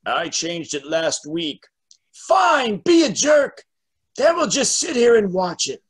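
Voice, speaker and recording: A man acts out a cantankerous old retirement-home grouch. The voice is pitched high and husky, loud and squabbling, in cartoon fashion. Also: sing-song, takes it slowly, full character voice. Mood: crabby, shouting. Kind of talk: amateur cartoon table read.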